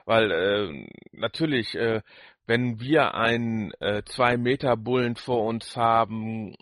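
A man chatting casually as a podcast co-host, in a halting way with restarted phrases. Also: mid-range voice, a bit bright, dry recording, studio quality. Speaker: podcast co-host reviewing audio dramas. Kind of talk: casual chat.

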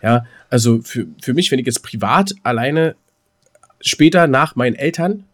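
A man's voice: medium pitch, animated, normal speed. A man co-hosting a casual, rambling podcast, often stammering and repeating words with a jokey, teasing manner. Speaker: podcast co-host.

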